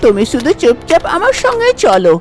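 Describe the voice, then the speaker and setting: A woman's voice: sits high, huge pitch swings, a little fast, darkish tone, soft background music. A woman voicing a scheming middle-aged village mother-in-law in a cartoon tale, coaxing and insistent.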